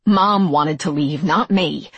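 A woman's voice: sits low, slow pace, slightly rough, no echo, highs cut off, full character voice. A woman voicing a guarded, defiant teenage girl with terse, firm, challenging delivery.

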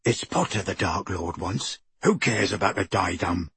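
An enormous, dim-witted teenage thug , as a male reader plays him, speaks soft and low, with bursts that turn loud and menacing. The voice is deeply husky, recorded close and dry. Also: exaggerated voice. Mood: sly. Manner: soft-spoken, slow, menacing, then yelling. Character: Crabbe, hulking thuggish teenage boy wizard. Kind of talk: audiobook reading.

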